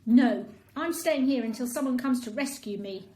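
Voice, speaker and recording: A woman's voice: fairly high pitch, normal speed, warm tone, roomy sound, close to an everyday voice. A woman voicing a chubby, timid male cat in a children's story, with a dramatic, protesting manner. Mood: stubborn.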